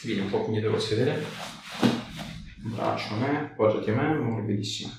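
A man's voice: on the low side, brisk, creaky. A man, giving hands-on instructions and asking questions as a chiropractor. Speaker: chiropractor treating a patient. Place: small treatment room, indoors. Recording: very echoey room, distant mic, faint noise.

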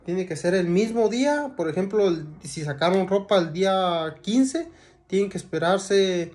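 A man's voice: sits high, animated, measured pace, a little mellow. A young man with a Mexican accent, talking casually to camera with many fillers and restarts. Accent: Mexican Spanish. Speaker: vlogger. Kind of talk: casual vlog talk to camera.

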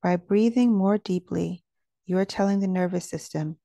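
A woman leading a guided meditation, speaking in a slow, soothing and measured way as she gives gentle instructions. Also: on the low side, creaky, no echo.

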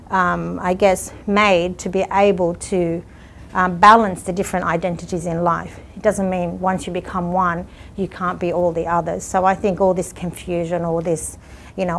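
Earnest woman from Lebanon, low voice. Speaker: community worker. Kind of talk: reflective sit-down interview. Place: indoor room with curtains.

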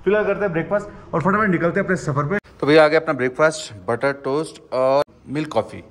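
A young man casually narrating a travel vlog outdoors while riding a motorbike, chatty and excited. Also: a little high, fast, dry recording. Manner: chatty and excited, keeps saying "yaar". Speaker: travel vlogger. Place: outdoors, riding a motorbike through a mountain valley.

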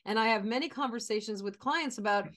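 A woman's voice: on the high side, quick pace, a bit bright. Encouraging woman hosting a video-call interview. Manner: encouraging, conversational. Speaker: host. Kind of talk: interview chat. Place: home office with shelves.

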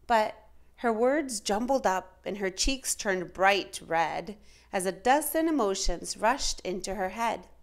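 A woman reading a children's picture book aloud in a dramatic, measured, expressive way. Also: mid-range voice. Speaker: children's storytime reader.

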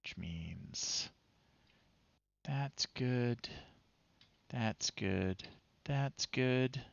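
A middle-aged man giving casual, low-key live commentary as he plays retro games, often muttering to himself. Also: expressive, very slow, vocal fry, no echo, dull audio. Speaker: livestream host playing retro games. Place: small room at home, indoors.

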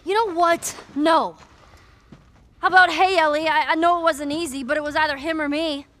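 A woman's voice: high voice, fairly even intonation, normal speed, fairly bright tone. An actress playing a feisty, foul-mouthed teenage girl survivor in a video game, with an American accent and a blunt, sarcastic manner. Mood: hurt, indignant. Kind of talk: video game cutscene acting.

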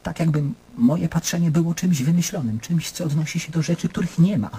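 Troubled middle-aged guy in a radio play, really raspy, a bit high, brooding and intense. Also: dark tone. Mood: despairing. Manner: brooding, intense, halting monologues. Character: anguished, self-questioning man in existential crisis, a patient.

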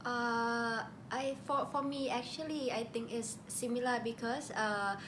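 A woman's voice: on the high side, fairly even intonation, unhurried, fairly bright tone. A woman in her twenties from Thailand, speaking hesitantly in a casual student interview. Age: 20s. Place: indoors against a curtain. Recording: noisy room, phone recording.

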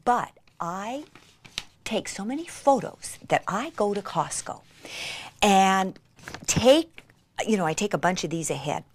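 A woman presenting a craft demonstration in an excitable, gushing way, with emphatic drops into a breathy near-whisper. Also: medium pitch, a little slow, pauses often, slight background noise.

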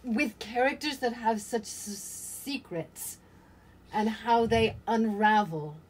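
A woman's voice: a little high, slow pace, rough recording. An older woman reflecting casually as an actor in an interview held over a video call. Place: indoor room with cloth backdrop. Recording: faint ambient noise on video call.

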